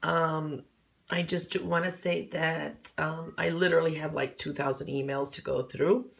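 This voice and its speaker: Hoarse low-voiced woman reading coffee grounds. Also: steady tone, a little slow. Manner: loose and conversational, many "um"s and "okay?"s. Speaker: coffee cup reader. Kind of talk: casual fortune reading.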